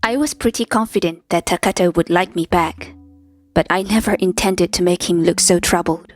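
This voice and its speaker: A woman's voice: fairly low pitch, normal speed, faint music behind, close to an everyday voice. A woman playing an earnest, lovestruck young office beauty, emotional and vulnerable, in a manga dub. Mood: wistful, worried.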